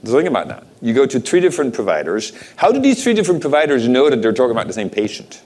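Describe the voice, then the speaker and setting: A man's voice: mid-range voice, very animated, fast talker, darkish tone. A middle-aged man from Denmark giving a conference keynote, asking the audience rhetorical questions in a conversational way. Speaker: conference speaker. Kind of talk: keynote talk.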